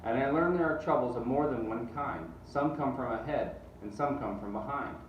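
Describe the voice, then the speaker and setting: A man reads a rhyming storybook aloud with animated, rhythmic delivery. His medium-pitched voice sits back from the mic in an echoey room with faint noise. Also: moderate pace, highs cut off. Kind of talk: expressive storybook read-aloud. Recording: distant mic, roomy echo, faint noise.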